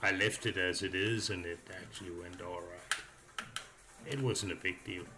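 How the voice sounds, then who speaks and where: A man's voice: low-pitched, steady tone, normal speed, noisy background. A man giving a casual, unscripted voice-over review as a hobbyist, thinking aloud in a hesitant, rambling way. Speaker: hobbyist reviewer narrating a build. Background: background music.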